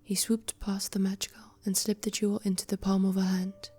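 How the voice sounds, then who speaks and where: A woman's voice: medium pitch, breathy edge. Whispering woman reading a fairy tale. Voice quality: whispering throughout. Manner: slow, even storytelling. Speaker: storyteller. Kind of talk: whispered bedtime story reading.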